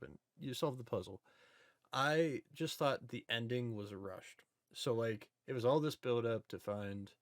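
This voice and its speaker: A man's voice: fairly low pitch, no room sound, clean studio sound. A man chatting casually and informally as a podcast co-host. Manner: informal, self-described history nerd. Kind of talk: casual chat about video game movies.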